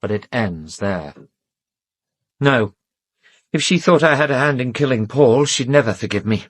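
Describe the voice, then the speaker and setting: An actor playing an educated, defensive English gentleman and weary secret lover in a British radio drama, speaking politely and guardedly with wry self-deprecation. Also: medium pitch, animated, unhurried, dry recording, clean studio sound. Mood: wry, confessional.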